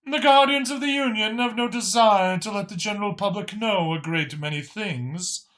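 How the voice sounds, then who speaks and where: A man voicing an aloof alien art thief and forger in an audio story, speaking in a deliberate, haughty way. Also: sits high, fairly bright tone, pitched above the performer's own voice. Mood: smug explaining.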